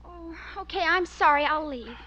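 A woman's voice: high-pitched, normal speed, noisy background, close to an everyday voice. An actress playing a dreamy, pert teenage daughter in 1940s radio sitcom style, girlish in manner. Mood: hesitant. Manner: girlish, gushing.

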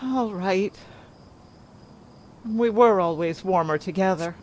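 A woman's voice: mid-range voice, takes it slowly, darkish tone, a little hiss or hum, lightly acted. A woman playing a frantic, grieving elderly mother in a horror audio drama, with a dramatic, insistent delivery. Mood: mournful, subdued.

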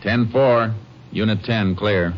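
A man's voice: lowish voice, steady tone, slow, slight background noise. A man acting a terse, commanding Texas Ranger investigator in clipped, authoritative 1950s radio drama style. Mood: brisk, businesslike. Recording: muffled vintage radio recording, music behind.

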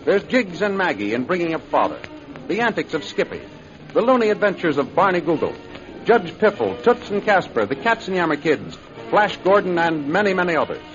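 A male American announcer from the golden age of radio, reading scripted narration. His voice is high for a man. Music plays behind him on a muffled vintage recording. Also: big pitch swings, brisk.

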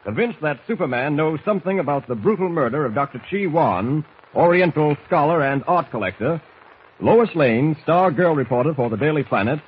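A man's voice: a little high, animated, brisk. A man narrating a 1940s radio serial as announcer, declamatory and full of suspense. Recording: muffled, hissy vintage radio recording.

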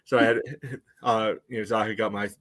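An American man with a fairly low voice talks casually as a podcast co-host. His analysis comes out measured and halting, with a dry sense of humour. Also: flat delivery, a bit bright. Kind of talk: casual sports analysis chat.

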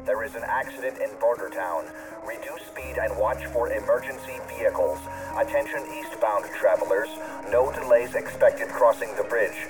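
A man's voice: medium pitch, normal speed, lightly acted. A man playing a formal, impersonal announcer reading scripted traffic advisories on the radio. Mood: official, neutral. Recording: distant car radio broadcast, music behind.

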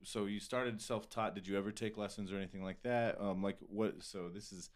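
Deep-voiced American man interviewing casually. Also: fairly even intonation, brisk. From Arizona, US. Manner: laid-back slangy talk peppered with "like". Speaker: podcast host and interviewer.

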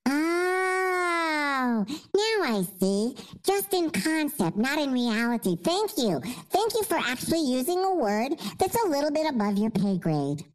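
A woman playing an excitable, sassy, sugar-crazed little girl who rambles, in a comedic audio sketch. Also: sits high, unhurried, few pauses. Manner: rambling, outbursts.

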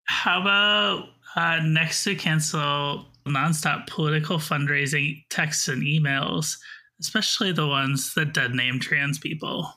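A woman's voice: low voice, measured pace, pushed and bright. A woman co-hosting a podcast in casual banter with the other host.

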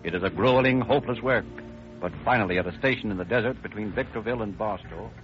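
Fast-talking radio crime drama narrator played by a man. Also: fairly low pitch, faint music behind, natural voice. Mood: earnest. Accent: American. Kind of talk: old-time radio scripted narration. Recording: muffled old radio recording.

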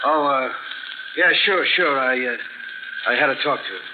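A man's voice: mid-range voice, normal speed, phone-quality sound, close to an everyday voice. An actor playing a surly, evasive small-time crook with slangy, defensive tough-guy talk in 1940s radio drama style. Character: surly, evasive male garage-running crook. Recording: noisy, band-limited 1940s radio recording.

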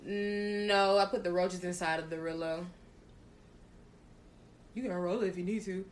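A woman hosting a casual podcast, talking loudly with crude jokes and laughter. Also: on the low side, fairly even intonation, moderate pace, a little hiss or hum. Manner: loud, laughing, crude joking. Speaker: podcast host. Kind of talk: casual chat.

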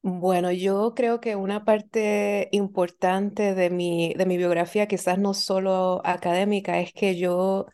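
A woman speaks as an interview guest, with a mellow, warm tone. She sounds reflective and unhurried. She often ends her points with a questioning tag. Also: mid-range voice, level tone, clean tone. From Puerto Rico. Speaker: guest author, professor. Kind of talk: thoughtful interview answers.

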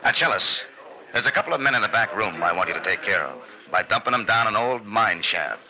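An actor playing a sly, folksy Old West villain with drawn-out hedging speech in an old-time radio western. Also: male voice, on the low side, big pitch swings, crowd noise. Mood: scheming. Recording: muffled, noisy vintage radio recording.

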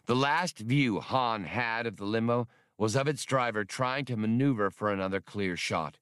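A man's voice: on the low side, moderate pace, a bit bright, smooth, no room sound, studio quality. A man reading an adventure novel as scripted, dramatic audiobook narration.

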